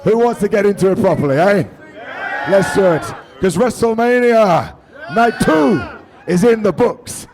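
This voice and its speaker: A British man hosting a live wrestling review show, jokey and loud, hyping the audience, and a bit hoarse from losing his voice. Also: sits high, expressive, measured pace. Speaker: host. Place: large hotel event venue. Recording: in front of a noisy live crowd.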